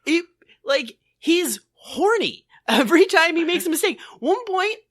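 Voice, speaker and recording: A woman's voice: very high voice, very animated, unhurried. A woman co-hosting a podcast, chatting casually and comedically with an irreverent, sweary, exclamatory way of talking. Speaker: podcast co-host. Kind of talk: casual comedic chat about an old TV show.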